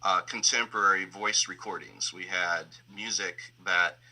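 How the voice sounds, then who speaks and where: A man's voice: low voice, fairly even intonation, measured pace, sharp tone, rough recording. A man who works as a sound designer, explaining his creative process in an interview in a reflective way, often searching for words. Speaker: sound designer and audio engineer.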